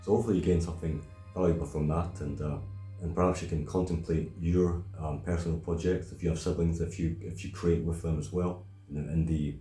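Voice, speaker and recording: Male host, about thirty, extremely deep and soft-toned, halting reflective talk, faint music. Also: little pitch movement. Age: around thirty. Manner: halting, thoughtful, repeats words. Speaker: video host. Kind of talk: reflective casual discussion. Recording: roomy echo, faint music.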